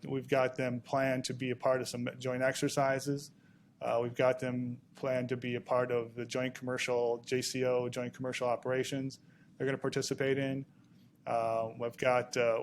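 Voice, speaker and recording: A man speaking on a conference panel, halting often, with many restarts and fillers. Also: mid-range voice, a little hiss or hum. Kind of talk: panel talk. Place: conference room.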